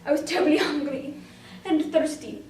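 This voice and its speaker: A woman performing a dramatic monologue as a frightened, anguished young wartime girl, emotive and deliberate in delivery. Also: sits high, steady tone, darkish tone, slight background noise, lightly acted. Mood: frightened, grim. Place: indoor room, recorded from a distance. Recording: roomy echo, distant microphone.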